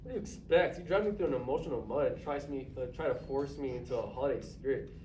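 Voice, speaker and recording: A man's voice: mid-range voice, fast, a little mellow, close to an everyday voice. A male drama student playing a bitter, closed-off, self-centred man in a staged reading. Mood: angry, exasperated. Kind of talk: staged reader's theatre performance. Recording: distant echoey noisy live stage recording.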